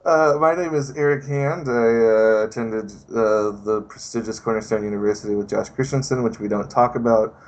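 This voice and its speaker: A man's voice: fairly low pitch, normal speed, hardly any pauses, a little mellow, slight background noise. A young man guesting on a podcast, joking casually with lots of hesitant fillers.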